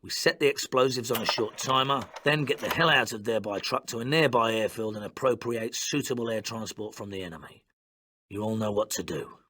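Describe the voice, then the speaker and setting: An actor playing a brisk, authoritative British army officer giving a clipped mission briefing in a war game. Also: male voice, fairly low pitch, sharp tone. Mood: firm, businesslike. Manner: commanding, clipped, matter-of-fact.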